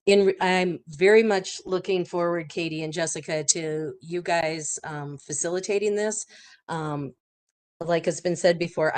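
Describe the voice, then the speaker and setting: A woman with a raspy voice from a cold, speaking haltingly with many ums in a video-call committee meeting. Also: sits low. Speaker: advocacy volunteer and support planner.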